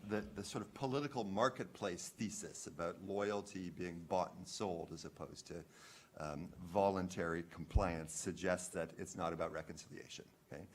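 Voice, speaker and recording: A man researcher speaking on a panel, measured, hedging with fillers. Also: deep voice, slight background noise. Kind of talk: academic panel discussion.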